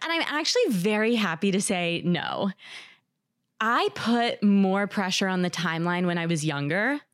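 A woman's voice: mid-range voice, animated, moderate pace, clear, resonant tone, no echo, studio quality. A woman in her thirties, a podcast guest, talking candidly and reflectively, laughing easily. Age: 30s. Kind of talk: casual interview talk.

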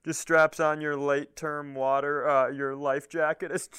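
A man's voice: a little high, little pitch movement, unhurried, fairly bright tone, smooth. A man co-hosting a podcast in casual comedic chat, joking and rambling with a self-deprecating streak. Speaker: podcast co-host.

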